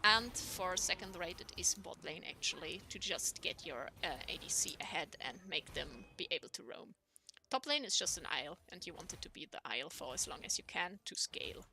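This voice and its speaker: A young woman with a squeaky voice, casting a live game in a chatty way with lots of ums and laughs. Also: expressive, a little fast, gravelly, sharp tone, a little hiss or hum. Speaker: esports caster.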